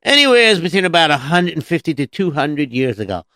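A man's voice: on the high side, sing-song, a little fast, bright, forceful tone, dry recording. A man hosting a comedic children's story show, patient and dryly teasing toward his sidekick. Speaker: storyteller host.

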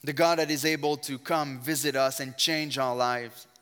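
A man preaching with a strong French accent, his first language being French. His voice is smooth and resonant, and it carries an earnest, fervent emphasis in prayer. Long church reverb rings behind every phrase. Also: mid-range voice, steady tone, normal speed, fairly bright tone, faint music behind. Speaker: guest preacher. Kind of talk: sermon with opening prayer. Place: church sanctuary.

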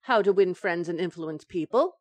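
A woman's voice: lowish voice, animated, moderate pace, darkish tone, no room sound, highs cut off. A woman playing a scatterbrained, coaxing young wife in an old-time radio sitcom, with a breezy, innocent comic delivery. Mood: innocently evasive.

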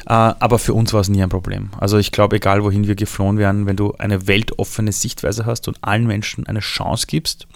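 A man from Iran speaks with a Viennese accent in a relaxed interview. His voice is gravelly and sits fairly low. He tells his story in a lively way, in a dry, close recording. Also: a little fast, hardly any pauses, no room sound. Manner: lively storytelling, shifts voice for quotes.

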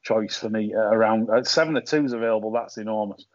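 A man's voice: on the low side, quick pace, darkish tone, no echo. Casting: male podcast host, casual talky racing tipster, dry muffled line. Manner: chatty, many fillers and repeats. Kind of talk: casual tipping chat.

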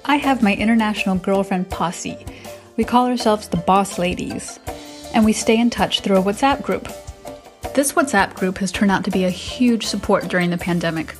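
A woman's voice: medium pitch, normal speed, faint music behind. A middle-aged woman with an American accent, narrating a personal podcast as its host from a prepared script. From United States.

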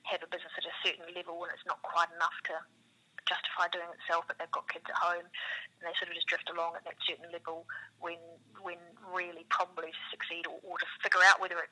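A woman's voice: lowish voice, monotone, quick pace, bright, forceful tone, rough recording. A woman with a New Zealand accent, answering interview questions as a business co-founder in a thoughtful, circling way full of "you know".

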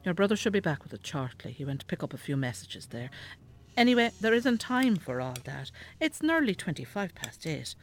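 Bossy elderly Irish mother, animated. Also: lowish voice, brisk, soft background music. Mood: brisk, bossy. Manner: scolding, bossy. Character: elderly, nagging, devout, sharp-tongued Irish mother. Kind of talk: naturalistic radio drama acting.

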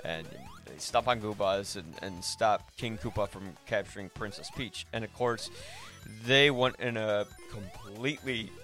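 A man's voice: lowish voice, unhurried, a bit bright, faint music behind. A man hosting a podcast, discussing films casually and conversationally as he thinks aloud. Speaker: podcast host.

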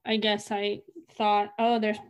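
A woman's voice: medium pitch, completely flat delivery, slow pace, smooth. The young woman you would hear co-hosting a small discussion podcast, thinking aloud in casual conversation. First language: English. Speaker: co-host in a reflective discussion.

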